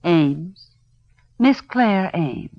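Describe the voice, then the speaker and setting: Lively, flirtatious gangster's wife. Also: female voice, lowish voice, drawn-out words, dry recording, heavily acted. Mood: coy. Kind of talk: old-time radio crime drama acting. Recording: dull old radio recording.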